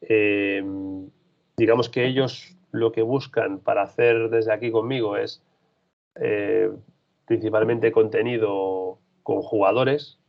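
Low gravelly man, muffled recording. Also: fairly even intonation, takes it slowly. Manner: thoughtful, rambling, frequent restarts. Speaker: freelance basketball reporter. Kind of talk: casual interview answers. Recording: dull lo-fi sound.

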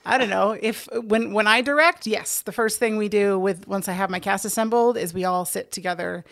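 A woman speaking as an interview guest, a theater director explaining things in a casual, animated way with asides. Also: medium pitch, normal speed, creaky.

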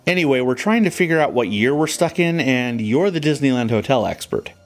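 A man's voice: medium pitch, animated, brisk, soft background music. A man acting as an adventurer in an audio drama, in a casual, conversational style.

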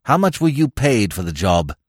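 A man's voice: lowish voice, big pitch swings, normal speed, slightly rough, no room sound, studio quality. A man voicing a brusque male investigator who snaps out clipped, demanding questions in an audiobook. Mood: stern.

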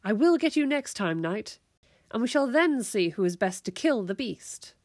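A woman's voice: a little high, big pitch swings, moderate pace, a little mellow, dry recording. Feisty young girl for a children's story, proud and mock-heroic, read by a woman, dry close recording. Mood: defiant, proud. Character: feisty little girl, defiant self-styled warrior princess.

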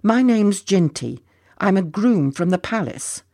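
A storyteller as an eager, high-pitched young groom boy. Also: animated, unhurried, warm tone, no room sound, clean studio sound. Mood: concerned, calling out. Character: earnest, kindly young groom boy, timid but eager.

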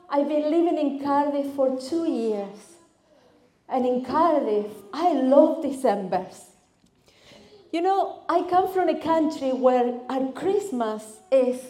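A woman with an Argentine Spanish accent, Spanish being her first language, preaches at an unhurried pace in a high, mellow voice. She speaks expressively, piling up vivid lists as she tells her stories. The sound carries the reverb of a church hall. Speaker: guest speaker. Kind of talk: sermon.

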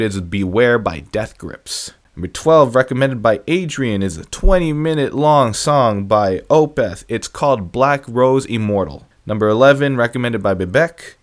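A young man casually counting down a list of songs his viewers recommended, offhand and self-deprecating. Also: medium pitch. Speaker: music reviewer ranking viewer song picks.

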